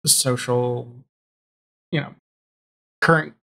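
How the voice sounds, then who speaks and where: Male podcast guest, medium-pitched voice, informal and meandering, checking in with the listener as he goes. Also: fairly even intonation, slow, creaky, sharp tone. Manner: rambling, thinks aloud, often ends on "right?". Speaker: guest, audio drama showrunner. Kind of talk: casual chat. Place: home room, video call.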